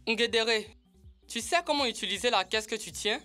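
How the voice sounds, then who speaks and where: A woman's voice: on the high side, moderate pace, sharp tone, faint music behind. A woman voicing a plain-spoken, teasing young friend in a French children's cartoon.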